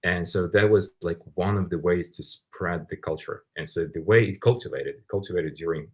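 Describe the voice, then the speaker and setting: Very deep, husky Ukrainian man. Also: normal speed. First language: Russian. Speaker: podcast guest. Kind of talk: conversational interview, explaining and recounting.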